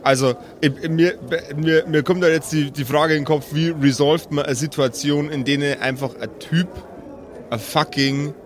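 A man's voice: on the high side, steady tone, moderate pace. Hesitant male game master, casual. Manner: hesitant, searching for words. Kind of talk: improvised tabletop game narration, casual.

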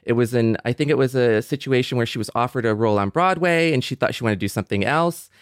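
A man's voice: mid-range voice, fast talker, no room sound, studio quality. A middle-aged American man in a casual panel discussion, telling anecdotes as an expert guest. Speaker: podcast panelist and Star Trek expert.

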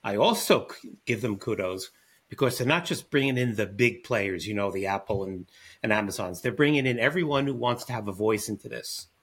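Husky-voiced man explaining as a guest expert. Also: fairly low pitch, fairly quick, fairly bright tone. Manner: confident, explanatory. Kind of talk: panel discussion.

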